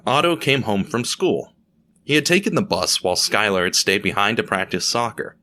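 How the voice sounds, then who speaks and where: A man with a lowish voice and a bright, forceful edge narrates a story at a measured pace. He slows down for the somber moments. A faint hum of ambience sits under the recording. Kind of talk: scripted audio drama narration.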